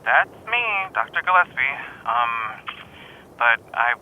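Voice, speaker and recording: A man's voice: medium pitch, animated, takes it slowly. A man playing a polite but evasive, flustered doctor in an audio drama, fussy and hesitant, heard on a telephone call. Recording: faintly noisy telephone call.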